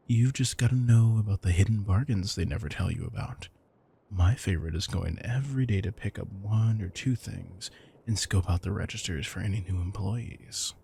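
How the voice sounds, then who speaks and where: A man playing a retired supervillain turned caring, thrifty house husband in an intimate audio roleplay, smug, dry and affectionate, with a husky, gravelly voice. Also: sits low, warm tone, full character voice.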